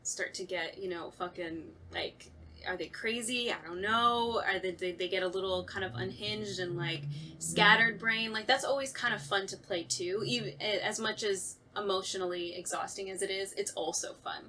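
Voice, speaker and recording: A woman's voice: mid-range voice, moderate pace, pushed and bright, slight background noise. A woman who acts in audio drama, chatting casually and self-deprecatingly on a talkback podcast. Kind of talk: casual chat.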